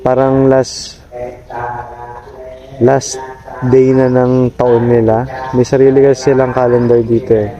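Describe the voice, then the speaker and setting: A man from the Philippines with a Filipino accent, vlogging in a relaxed, hesitant way and laughing easily. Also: medium pitch, unhurried, long pauses, over music, low-quality recording. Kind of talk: casual vlog narration and chat.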